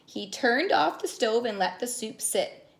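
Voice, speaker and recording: A woman reading stories aloud to young children in an expressive, sing-song way, prompting them as she goes. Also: fairly high pitch, measured pace, creaky. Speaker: children's story reader and caregiver.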